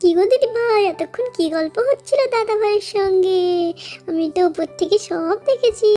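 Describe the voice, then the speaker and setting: A woman's voice: extremely high pitch, normal speed, mellow, faint music behind. A woman voicing an affectionate, teasing village woman in a children's doll story. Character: chatty, affectionate village sisters and aunts, doll women.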